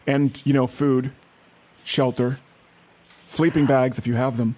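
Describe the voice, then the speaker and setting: A man's voice: mid-range voice, little pitch movement, a little slow, a little mellow. A man making a prank phone call, pushy and falsely sincere, often stammering and restarting his sentences. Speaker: prank caller.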